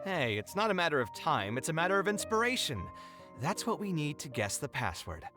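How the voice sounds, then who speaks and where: Earnest, articulate young architect, game dialogue. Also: male voice, on the high side, big pitch swings, brisk, over music. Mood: playfully exasperated. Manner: articulate, thoughtful storytelling delivery. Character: earnest young male architect reminiscing, expressive, a little wry. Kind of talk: anime-style video game voice acting.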